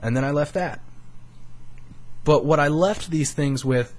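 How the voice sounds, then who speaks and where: A man's voice: a little high, unhurried, a little mellow. A young man of eighteen, a YouTuber, talking earnestly and rambling a bit on a studio microphone, swearing casually and sounding emotionally raw. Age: young adult. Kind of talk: candid personal vlog.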